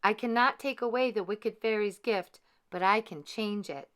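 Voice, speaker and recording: A woman voicing a kindly good fairy from a children's storybook, gentle and solemn as she pronounces. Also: mid-range voice, normal speed, pitched above the performer's own voice, lightly acted. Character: kindly, gift-giving good female fairy.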